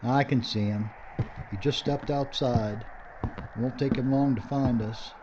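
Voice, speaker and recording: An actor playing a stubborn, sardonic filmmaker facing doom in an audio drama. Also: male voice, mid-range voice, fairly even intonation, unhurried, close to an everyday voice. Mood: grim, wry. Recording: dull, noisy audio with ambience.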